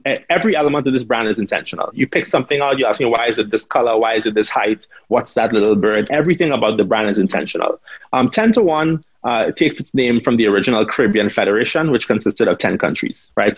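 A man's voice: medium pitch, husky, no room sound, dull audio. A man from Trinidad and Tobago, a rum brand founder, talking in an interview and often repeating words and asking "right?". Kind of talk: conversational interview answer.

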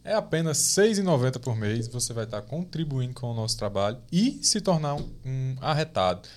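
A podcast host with a very lively, expressive voice that swoops up and down in pitch. The host reads the channel plug and throws questions to the guest in a joking, animated way. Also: a little fast.

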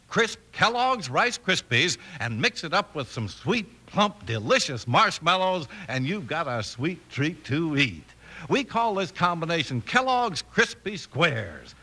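Very bright, animated American pitchman. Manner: folksy, upbeat sales talk. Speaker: commercial pitchman. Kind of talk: 1950s radio advertising.

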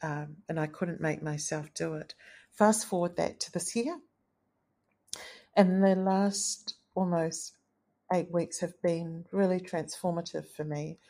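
A woman hosting a solo podcast, speaking in an earnest, gentle, thoughtful way with a low, tenor-like voice. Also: fairly even intonation, slow. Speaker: podcast host. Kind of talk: reflective solo podcast talk.

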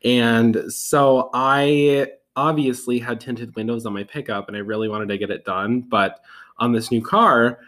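Man, relaxed rambling talk, lots of fillers, casual podcast co-host. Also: medium pitch, a little slow. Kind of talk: casual chat.

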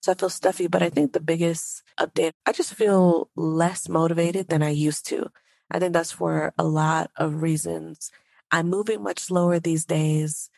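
A woman with a low voice and a stuffy nose hosts her own podcast, speaking straight to her listeners in a relaxed, conversational monologue. Her voice is dry and close, with a steady gravelly fry running through it. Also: no room sound. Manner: conversational, addresses listeners directly. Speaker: podcast host.

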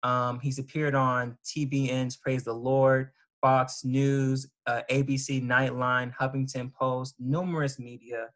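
A man's voice: medium pitch, level tone, measured pace, few pauses, a bit bright. A man hosting an interview, reading out his guest's introduction with frequent ums and restarts. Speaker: host.

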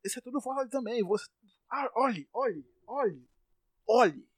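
A Brazilian man hosting his own podcast, ranting in exasperation, with sudden loud outbursts and stumbling, repeated words when he gets worked up. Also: very high voice, lively intonation, measured pace, no room sound. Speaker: podcast host. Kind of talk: solo podcast rant.

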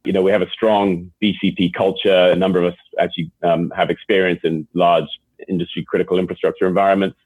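An Englishman with a low, slightly husky voice speaks in an interview, often doubling words as he restarts his sentences. He comes through a thin, phone-like line with no room sound at all. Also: little pitch movement, quick pace, no echo. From England. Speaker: guest executive. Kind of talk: interview answers.

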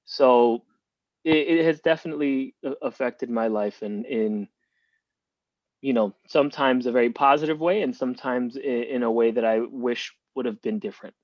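A man born in Cuba talks loosely as a guest, circling back on his words with plenty of fillers. His voice sits at an average pitch and comes through a dull, muffled remote line. The sound is dry, with no room around it. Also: normal speed, no room sound. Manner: rambling, many restarts and fillers. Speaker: podcast guest. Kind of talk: casual interview talk.

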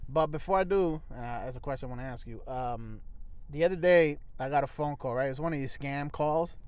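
A man's voice: medium pitch, brisk, darkish tone, clean tone. A man co-hosting a casual podcast, swearing freely and rambling through stories. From United States. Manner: profane, rambling storytelling. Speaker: podcast co-host.

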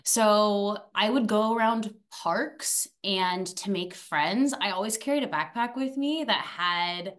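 A woman's voice: mid-range voice, slow pace, hardly any pauses, a bit bright. A young woman raised in France and then Arizona, chatting as a podcast guest in a talkative, upbeat and goofy way. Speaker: guest, alumna. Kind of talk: casual interview chat.

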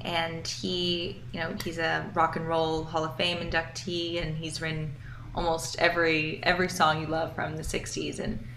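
A woman's voice: on the low side, fairly bright tone, reverberant, a little hiss or hum. A woman singer with a light New York accent, talking enthusiastically about her music in a casual interview. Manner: bubbly, enthusiastic. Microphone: video call.

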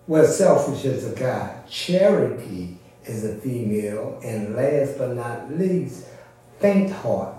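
A man explaining a story informally to a congregation, in a conversational and unhurried manner. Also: mid-range voice, big pitch swings, warm tone. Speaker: church presenter introducing and recapping an audio drama. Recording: very echoey room, far from mic.

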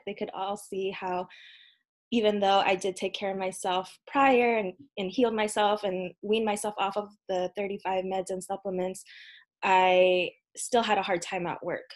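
A woman in her thirties, a podcast guest, telling a personal story in an earnest, casual way with frequent ums and soft laughs. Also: mid-range voice. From United States. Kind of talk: casual interview conversation.

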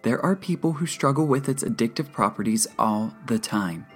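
A woman voicing a patient, measured female addiction counselor in an audiobook, calm but firm. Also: very deep voice, husky, soft background music, pitched above the performer's own voice, heavily acted. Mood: placating.

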